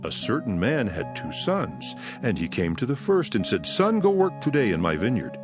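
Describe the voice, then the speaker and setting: Low-voiced authoritative teacher, solemn emphatic declamation, hugely varied intonation, dramatized scripture, background music. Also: male voice, big pitch swings, normal speed. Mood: solemn teaching.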